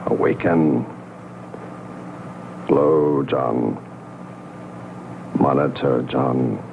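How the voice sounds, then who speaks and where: A man playing a solemn inventor who gives slow, incantatory commands to his robot in a 1960s science fiction film. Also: rumbling low, fairly even intonation, gravelly, exaggerated voice. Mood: solemn, commanding. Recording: narrow, noisy, humming old film audio.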